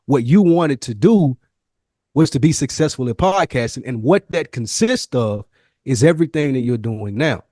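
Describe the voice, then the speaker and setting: A man with a mid-pitched voice, recorded dry with no room sound. He talks casually as a podcast co-host, reflective and candid, telling stories in slangy, sometimes profane language. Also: lively intonation, normal speed, a little mellow, dry recording.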